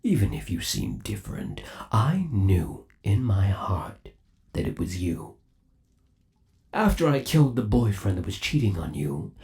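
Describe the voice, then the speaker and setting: A possessive animatronic rabbit boyfriend, acted by a man, for an ASMR roleplay. He coos with sinister devotion in a gravelly voice, drawing his words out slowly. His pitch swoops up and down theatrically as he dotes. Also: warm tone, full character voice. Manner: slow, doting, theatrical cooing.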